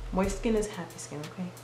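A young woman in her twenties with a deep, mumbling voice, chatting casually while doing her makeup. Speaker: guest vlogger. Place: small indoor room. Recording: roomy sound with music behind.

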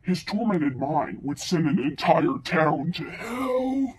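A deep, very husky horror trailer narrator, acted out by a woman. The delivery is menacing and swoops dramatically in pitch. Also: very animated, slow pace, exaggerated voice. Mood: ominous.

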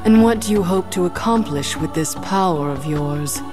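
A woman's voice: lowish voice, moderate pace, music in the background, close to an everyday voice. A woman voicing a haughty, otherworldly villainess in a video game, aloof, stately and disdainful.

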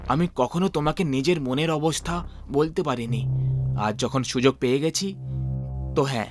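An earnest young cartoon suitor acted by a man, fairly high in pitch. He speaks haltingly and sentimentally over clearly audible music. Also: lightly acted. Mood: lovestruck. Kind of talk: animated story dialogue.

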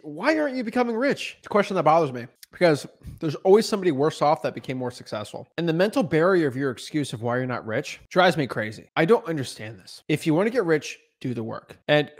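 A young man in his twenties giving an unscripted motivational talk as a real estate coach, intense and repetitive, recorded on a podcast mic in a small home studio. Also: a little high. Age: 20s. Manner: intense, repeats himself, frequent "right?" and "like". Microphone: podcast mic on boom arm in front.

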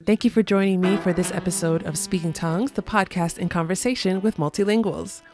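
A woman hosts an interview podcast with a slightly low voice and plenty of eager enthusiasm. She moves from a read introduction into easy, lively conversation, with faint music sometimes under her. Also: a little fast. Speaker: podcast host. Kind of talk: scripted intro then casual interview.

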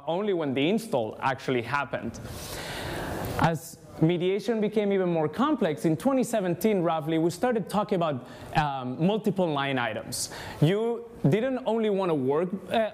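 A young man from Spain with a Spanish accent, giving an energetic conference talk and speaking straight to the audience. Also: high voice. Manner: energetic, engaging, addresses the audience directly. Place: large conference room, indoors.

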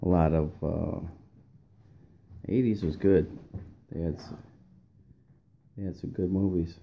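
A man's voice: low voice, steady tone, vocal fry, warm tone, slight background noise. A middle-aged man chatting casually and joking easily with a friend on a fishing trip. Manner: easygoing, joking, slow drawl. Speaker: fishing companion. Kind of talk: casual chat. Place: indoors at a themed venue, then on a boat.

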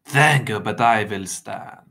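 A man voicing a proud, humourless vampire mentor in an audiobook story, stiff, curt and formal in his gruff delivery. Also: lowish voice, takes it slowly, bright, forceful tone, exaggerated voice. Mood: stiff, guarded.

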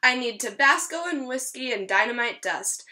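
A woman's voice: fairly high pitch, expressive, moderate pace, bright, forceful tone. A woman reading aloud as a brave, clever, polite young cowboy boy, eager and scheming. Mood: eager, bargaining.

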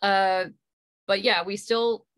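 A middle-aged woman giving casual, explanatory interview answers on a video call, haltingly thinking aloud. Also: mid-range voice, steady tone, a bit bright. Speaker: interview guest, audio drama creator. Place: small room at home.

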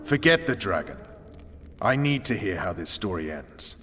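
An actor playing a stern, world-weary special forces commander in a video game, clipped, commanding and menacing. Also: male voice, low voice, very animated, normal speed, fairly bright tone, in a large hall, slight background noise. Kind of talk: dramatic video game acting.

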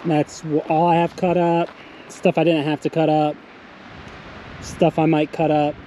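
Young man, slightly high voice, relaxed rambling chat, outdoors in a forest, camera close, faint background noise. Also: a little slow. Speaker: camping vlogger. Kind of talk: casual self-filmed vlog. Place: outdoors in a pine forest campsite. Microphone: camera held close or set on the ground.

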